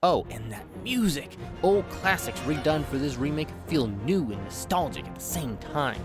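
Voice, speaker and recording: A man's voice: on the high side, sing-song, normal speed. Guy doing a jokey game review voiceover, playful and hammy, music going behind him. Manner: exaggerated, playful delivery with mock outbursts. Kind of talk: scripted comedic review narration. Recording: background music under the voice.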